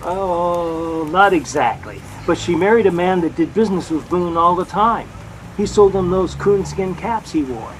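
Jealous young American husband, sheepish stammer, sarcastic grumble, boastful bluster, vintage radio comedy, faintly noisy recording. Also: male voice, high voice, unhurried.

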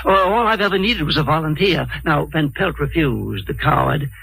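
An actor playing a fanatical, contemptuous old scientist with a gravelly, raspy voice and declamatory delivery, in old-time radio drama style. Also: male voice, a little high, sharp tone, exaggerated voice, rough recording. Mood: eager, wheedling. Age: older. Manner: declamatory, dramatic pauses, sudden muttering.

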